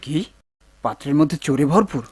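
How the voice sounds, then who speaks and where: Eager young cartoon son, husky, fairly high-pitched, questioning. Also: male voice, a little fast, a little mellow. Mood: alarmed. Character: eager young son helping his father. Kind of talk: dubbed cartoon acting.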